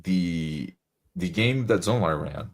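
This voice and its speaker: A man's voice: deep voice, expressive, slow pace. A man chatting casually and eagerly as a game player, often repeating how he starts a phrase. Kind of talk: casual chat.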